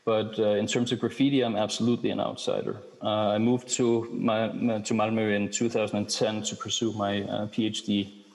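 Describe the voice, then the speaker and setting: Low-pitched middle-aged academic man, Danish-born, hesitant lecturer, flat delivery, reverberant hall. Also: vocal fry. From Denmark. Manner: measured, hesitant with frequent fillers and restarts. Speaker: keynote speaker, researcher.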